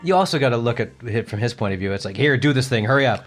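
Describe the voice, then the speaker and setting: A man's voice: medium pitch, expressive, very fast, faint music behind. A man on a podcast panel, chatting casually and giving his opinions.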